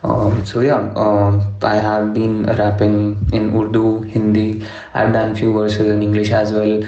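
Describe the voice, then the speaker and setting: Low, flat-voiced man in an echoey room. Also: soft background music. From Delhi, India. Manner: measured, many fillers like "you know" and "uh". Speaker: rapper. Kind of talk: podcast interview answers. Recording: echoey room, dull lo-fi sound.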